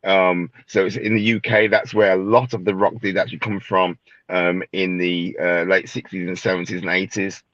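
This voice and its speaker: Man, low voice, rambling, lots of fillers, dry dull call audio. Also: level tone, normal speed, fairly bright tone, dry recording. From Birmingham, England. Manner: rambling, many "you know" fillers. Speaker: band drummer, guest. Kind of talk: casual podcast chat.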